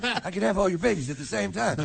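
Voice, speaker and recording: Man putting on a high-voiced, smitten little female bulldog. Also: expressive, fast, creaky, a little hiss or hum, full character voice. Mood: smitten, conspiratorial.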